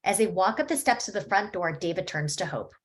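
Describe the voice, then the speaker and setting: A woman reading stage directions at a screenplay table read in a steady, matter-of-fact way. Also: on the low side, a little fast.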